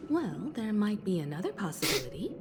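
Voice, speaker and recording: A woman's voice: mid-range voice, big pitch swings, a little fast. An actress playing a poised, thoughtful woman who speaks solemnly in a video game. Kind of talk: theatrical video game voice acting. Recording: game music behind the voice.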